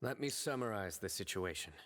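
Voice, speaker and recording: Serious calm investigator, really deep, formal n measured, anime game, reverby. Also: male voice. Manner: measured, formal, matter-of-fact. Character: composed, serious young male investigator. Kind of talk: anime video game voice acting.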